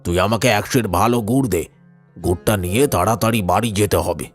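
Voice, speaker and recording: Man as older village uncle, low voice, gruff, suspicious, brusque customer, cartoon dialogue, music clearly behind. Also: a little fast, close to an everyday voice. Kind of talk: animated ghost story dialogue.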